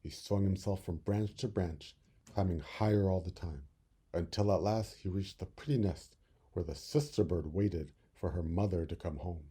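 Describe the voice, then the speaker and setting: A man reading a children's story expressively, with deliberate, dramatic phrasing. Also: low-pitched, mellow, breathy edge. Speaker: storybook reader.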